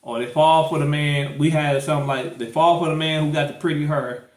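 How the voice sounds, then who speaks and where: A man's voice: fairly high pitch, fairly even intonation, brisk, echoey room. A man hosting a podcast, talking loosely and rambling, with many restarts and fillers. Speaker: podcast host. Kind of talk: casual chat.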